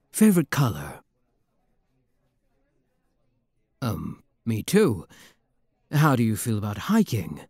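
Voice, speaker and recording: A man's voice: medium pitch, a little mellow, no echo, clean studio sound. A man playing a playful, flirty demon prince with a teasing, theatrical manner in an intimate ASMR roleplay. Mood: amused, disbelieving.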